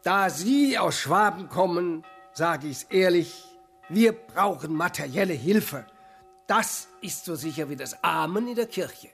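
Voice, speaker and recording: A pompous church official in a radio drama. His voice is strikingly high for a man, formal and measured, with faint music behind it. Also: lively intonation, vocal fry, fairly bright tone, full character voice. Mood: solemn. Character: pompous male church official soliciting money.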